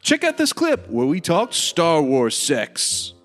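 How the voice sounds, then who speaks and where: A man's voice: fairly high pitch, huge pitch swings, slow. A man who hosts a podcast, speaking in the style of a promo for his show. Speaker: podcast host. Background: faint music.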